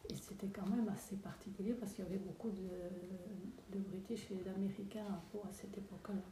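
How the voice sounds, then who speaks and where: A woman joining an interview and chatting in a lively way about old times. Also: mid-range voice, fast talker, reverberant, distant mic, a little hiss or hum. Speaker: guest's wife. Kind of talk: casual conversation.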